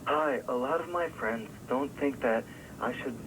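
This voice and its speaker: A man prank calling a TV show by phone, halting and mock-earnest. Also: mid-range voice. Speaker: prank caller. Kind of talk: casual phone call-in. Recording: faintly noisy phone line on old tape.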